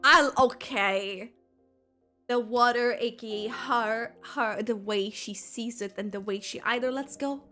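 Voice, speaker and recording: A woman's voice: fairly high pitch, measured pace, bright, forceful tone, soft background music. A woman streamer giving casual, playful live reaction commentary on a video game.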